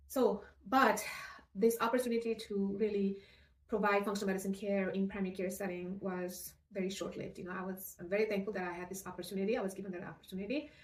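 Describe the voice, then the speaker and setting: A middle-aged woman with a Nepali accent shares a personal account in an earnest, measured way. Her pitch is average for a woman. She sounds set back from the microphone in a roomy, echoing space. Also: slight background noise. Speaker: nurse practitioner and functional medicine provider. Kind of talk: personal story told to camera. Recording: roomy echo, distant microphone.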